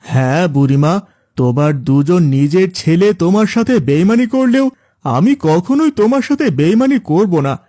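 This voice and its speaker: A man's voice: high-pitched, lively intonation, moderate pace, dark tone. A man playing a loyal young village helper in a cartoon story, earnest and reassuring. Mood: earnest, caring. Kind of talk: Bengali cartoon moral-story acting.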